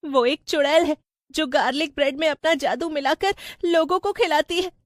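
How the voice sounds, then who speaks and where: Woman doing an earnest, very high-pitched young village wife. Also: moderate pace, no echo, clean studio sound, lightly acted. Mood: distressed, determined. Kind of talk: animated Hindi story acting.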